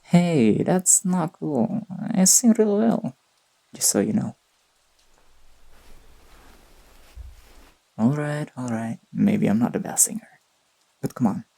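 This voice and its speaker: A performer voicing a gentle, teasing young boyfriend in an intimate sleep-aid roleplay, speaking softly and tenderly with a breathy, slightly husky voice. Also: medium pitch, animated, unhurried. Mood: playful teasing. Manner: tender, playful, murmured delivery.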